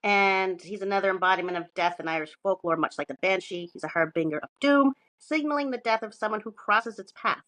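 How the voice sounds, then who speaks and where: A woman's voice: medium pitch, fairly quick, few pauses, a bit bright, dry recording, low-quality recording. Irreverent woman co-hosting a podcast, dry close sound. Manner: irreverent, sweary, self-mocking asides. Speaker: podcast co-host presenting research. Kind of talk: casual podcast talk, partly reading notes.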